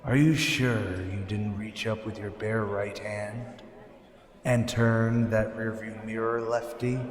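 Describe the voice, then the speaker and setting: A man acting a mysterious, commanding avenger in a radio drama, speaking deliberately in an ominous, insistent way. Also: on the low side, fairly even intonation. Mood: menacing, taunting. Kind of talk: radio drama acting in old serial manner. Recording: echoey hall with long reverb.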